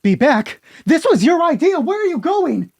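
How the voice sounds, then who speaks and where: Man acting an angry, loud husband. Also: extremely high pitch, sing-song, moderate pace, vocal fry, dry recording, clean studio sound, voice pushed up, exaggerated voice. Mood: furious. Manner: indignant, shouting, confrontational.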